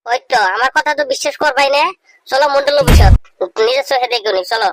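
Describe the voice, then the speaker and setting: A woman's voice: high-pitched, quick pace, music in the background, close to an everyday voice. A woman playing an aggrieved poor villager in an amateur comedy skit, complaining insistently in a rural Bengali dialect. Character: aggrieved poor villager scheming over family land. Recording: noisy amateur recording with clicks.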